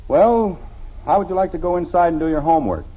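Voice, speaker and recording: Stubborn American suburban dad, middle-aged, testy and husky, highish voice, dull vintage radio recording. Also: male voice, lively intonation, quick pace. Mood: harried, coaxing. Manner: blustery, exasperated. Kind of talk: 1950s American radio sitcom acting.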